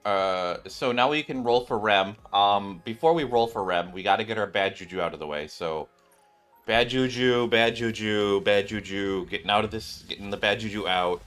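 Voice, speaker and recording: Low-voiced man doing casual game commentary. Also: moderate pace, clean tone. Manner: excitable, exclamatory, repeats phrases. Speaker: gaming channel host. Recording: music behind the voice.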